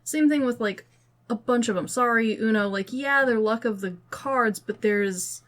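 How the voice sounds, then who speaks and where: A woman's voice: on the high side, unhurried, a bit bright. A woman co-hosting a podcast, chatting casually about films in an informal, rambling way peppered with "like". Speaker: podcast co-host.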